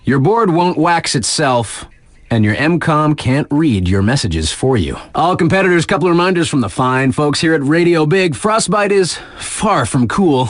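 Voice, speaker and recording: A man's voice: on the high side, lively intonation, normal speed, no room sound, natural voice. A man playing an upbeat, laid-back young radio DJ in a snowboarding video game, with casual, hyped, slangy patter. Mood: upbeat, informative.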